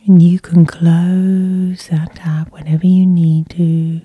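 Female hypnosis guide. Airy, barely voiced whisper, slow and soothing, recorded close and dry. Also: on the low side, little pitch movement, husky, no echo, dull audio. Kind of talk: whispered sleep hypnosis, ASMR guided meditation.

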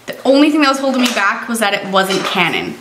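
A young woman talks to a handheld camera in a chatty, excited home vlog, with light laughter slipping into her sentences. The room is echoey, and clear household noise runs behind her. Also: fairly high pitch, quick pace. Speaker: vlogger. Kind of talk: casual vlog talk to camera. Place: home living room and kitchen, indoors. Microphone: handheld vlogging camera at arm's length.

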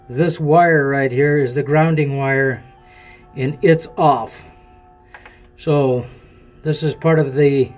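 A man casually talking through a boat repair as he works with his hands, thinking aloud in plain folksy words. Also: fairly high pitch. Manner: relaxed, thinking aloud while working, plain folksy talk. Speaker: boat owner showing a repair. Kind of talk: casual hands-on how-to narration. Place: aboard a boat, in the bilge.

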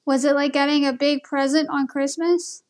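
Woman, very high voice, casual video host, conversational questioning delivery. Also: level tone, moderate pace, darkish tone. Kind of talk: casual conversation with an AI companion on a phone call.

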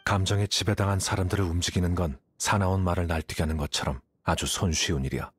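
A man's voice: deep voice, fairly even intonation, fast talker, breathy edge. A man voicing a cool-headed, analytical young scholar in a Korean game dub, composed and matter-of-fact as he explains. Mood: detached, explaining. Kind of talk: Korean-dubbed anime game dialogue.

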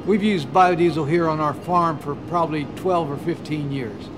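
A middle-aged man from Ohio, a farmer and biodiesel producer, speaking in an interview in a measured, earnest, deliberate way. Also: a little high, steady tone. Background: background music.

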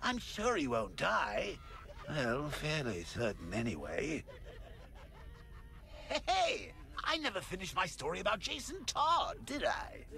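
A gleefully sadistic, wildly swooping clown villain. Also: male voice, a little high, wildly swooping pitch, slow pace, gravelly, bright, forceful tone, heavily acted. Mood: mocking, taunting. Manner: mocking, singsong, theatrical. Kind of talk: video game villain acting. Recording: music behind the voice.

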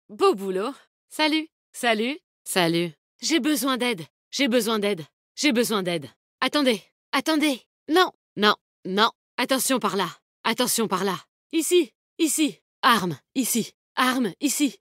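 A woman's voice: on the high side, a bit bright, no room sound, studio quality. An actress playing a tough, confident, protective woman fighter in a video game, with a bold, cheeky, commanding manner. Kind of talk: video game character voice lines, combat callouts.

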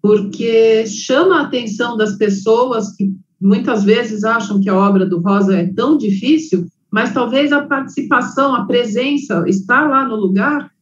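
A woman moderating an online panel, speaking in a measured, encouraging way. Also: a little high. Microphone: video call. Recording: dull lo-fi video call audio.

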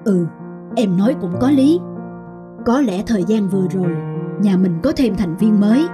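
A gentle, caring young father in a cartoon family drama. Clear music plays behind the voice. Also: high-pitched, lively intonation, normal speed. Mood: thoughtful.

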